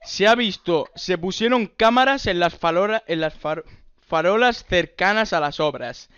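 Prank-call police officer played by a man: high, smooth voice, officious, insistent, quick to lose patience, Basque. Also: animated, a bit bright, no room sound, dull audio. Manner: officious, insistent, easily exasperated. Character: bossy, short-tempered Basque police officer on the phone. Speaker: prank caller. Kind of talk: improvised phone prank.

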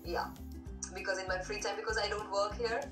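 A woman's voice: mid-range voice, flat delivery, brisk. A woman from Pakistan with a Pakistani English accent, chatting at length as an online English tutor, full of fillers. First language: not English. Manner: chatty, long rambling answers with many fillers. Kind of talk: casual conversation lesson over video call. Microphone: tablet video call. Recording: echoey distant video-call audio with music.

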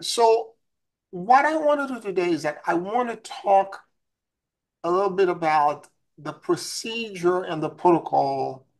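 Slow-talking nasal man with a head cold. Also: on the high side, poor audio. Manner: slow and deliberate, many fillers and restarts. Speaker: spiritual teacher. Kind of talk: spiritual teaching talk. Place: home room with a virtual background. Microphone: webcam video call.

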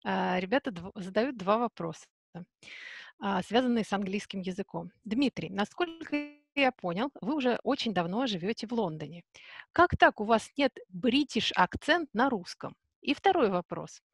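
A woman hosting an online talk and reading out questions from the audience to the guest in a measured way. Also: medium pitch, animated, husky, no echo. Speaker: host, moderator.

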